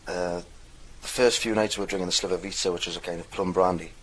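A young man speaks with a mild Geordie accent in a very deep, low register. He tells his story in a loose, rambling way, and a faint ambient hum sits under his voice. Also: fairly even intonation, fast talker, fairly bright tone, poor audio. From North East England. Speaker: dialect archive speaker. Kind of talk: casual recorded anecdote.

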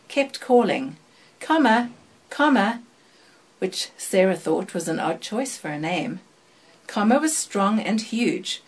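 An older woman from South Africa with a South African accent tinged by British and American sounds, reading a passage and then recalling her life in a measured, even way with thoughtful pauses. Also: mid-range voice, husky. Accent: South African, mixed with British and American. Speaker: accent archive speaker.

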